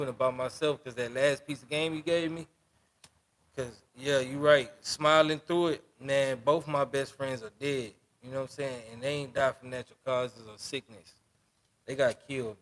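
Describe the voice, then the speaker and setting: A man's voice: medium pitch, fairly bright tone. A man in his twenties hosting a podcast, talking loosely in street slang and often asking "you know what I'm saying". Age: 20s. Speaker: podcast host. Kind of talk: casual interview.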